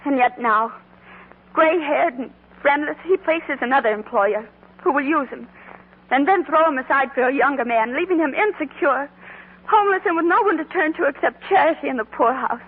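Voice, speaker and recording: A woman's voice: high voice, normal speed, phone-quality sound, close to an everyday voice. An actress playing an earnest, big-hearted young shopgirl in a 1940s radio drama, on an old broadcast recording. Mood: impassioned, pleading.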